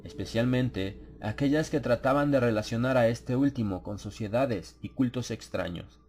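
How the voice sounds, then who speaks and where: A man narrating a dramatized horror audiobook in a measured, solemn storytelling manner. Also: medium pitch, a little mellow. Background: ambient sound effects.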